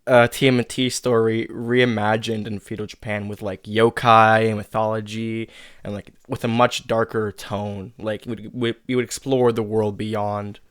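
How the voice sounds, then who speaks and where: A man hosting a casual interview in a chatty, somewhat halting manner. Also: fairly low pitch, flat delivery, smooth, no echo, studio quality. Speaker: host and creator of an audio drama.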